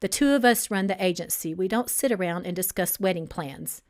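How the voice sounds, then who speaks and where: A woman's voice: on the low side, fairly quick, close to an everyday voice. A woman reading a story aloud as a stern engaged man who is resentful, suspicious and firm. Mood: angry. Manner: firm, edgy, incredulous.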